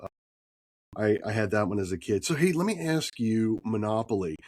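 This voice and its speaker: A man hosting a podcast interview, husky from a cold, upbeat and tagging his points with "right?". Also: on the low side, lively intonation, moderate pace, a little mellow, no echo, studio quality. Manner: upbeat, often adds "right?". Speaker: podcast host.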